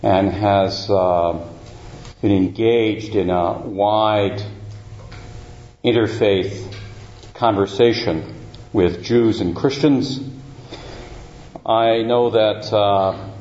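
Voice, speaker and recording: Low, mellow-voiced man introducing a speaker. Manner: halting, with many uh and um fillers. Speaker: event host introducing a speaker. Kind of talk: formal introduction. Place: university lecture room. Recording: roomy, dull lecture-hall recording.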